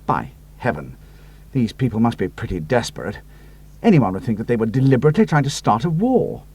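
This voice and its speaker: A man voicing a decisive air ace with a crisp, clipped and authoritative manner. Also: medium pitch, very animated, brisk, a little mellow. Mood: grave. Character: commanding British air ace, decisive and brisk.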